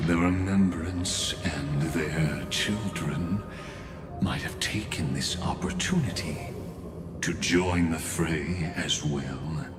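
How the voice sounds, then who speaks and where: A cold, calculating male villain from a video game. His voice is very deep and unhurried, with a measured menace. It echoes over prominent music. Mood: coldly condescending. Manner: measured, deliberate, ominous. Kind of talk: scripted game voice acting.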